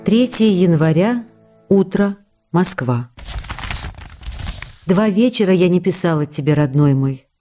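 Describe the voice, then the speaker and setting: A woman playing a loving, sociable actress writing to her husband, reading the letters for radio in an intimate, expressive, chatty way. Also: fairly low pitch, lightly acted. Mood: tender, apologetic.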